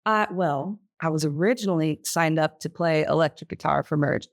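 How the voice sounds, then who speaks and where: A woman's voice: deep voice, moderate pace. Chatty woman pastor losing her voice. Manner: chatty, laughs easily. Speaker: pastor and podcast co-host. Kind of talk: casual podcast chat.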